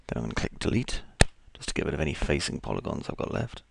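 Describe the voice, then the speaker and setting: A man with a croaky voice, casually talking through each step of a 3D modeling tutorial as he works. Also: very deep voice, wildly swooping pitch, fast talker, background noise. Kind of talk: screen-recorded software tutorial.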